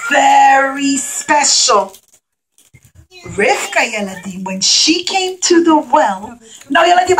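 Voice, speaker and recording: A woman's voice: on the high side, breathy edge, rough recording. A woman telling an interactive puppet-show story to children in simple, emphatic, encouraging phrases, as their teacher.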